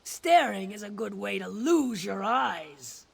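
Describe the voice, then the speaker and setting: A woman's voice: medium pitch, expressive, takes it slowly, vocal fry, husky, no room sound, exaggerated voice. An actress playing a tough female orc hunter in a video game, taunting and challenging. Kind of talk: video game character acting.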